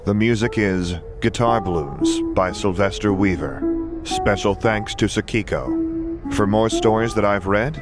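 A man reading a story aloud as a scripted audiobook narration. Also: on the low side. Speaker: audiobook reader of fan fiction.

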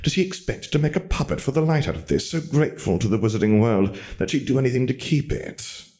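A man voicing a bitter, arrogant dark wizard for an audiobook, soft yet commanding, ranting with scornful, precise words. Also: mid-range voice, lively intonation, fast talker, voice pushed up, close to an everyday voice. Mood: furious, scornful. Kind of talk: audiobook character voice.

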